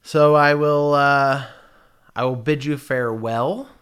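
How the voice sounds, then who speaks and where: A man's voice: mid-range voice, steady tone, takes it slowly, fairly bright tone, clean tone. An American man with a noticeable lisp, talking casually to his viewers in a rambling, profane, self-mocking way. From United States. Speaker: vlogger. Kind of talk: casual chat.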